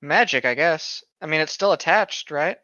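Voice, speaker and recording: A man's voice: on the high side, moderate pace, pushed and bright, no room sound. A man co-hosting a podcast with casual, joking asides, slangy and sweary, on a dull lo-fi voice track. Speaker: podcast co-host.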